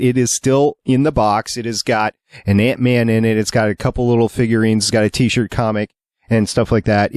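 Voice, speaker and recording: A man hosts a casual fan podcast with chatty enthusiasm. His voice sits at a medium pitch, recorded close and dry. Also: a little mellow, no echo. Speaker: podcast host.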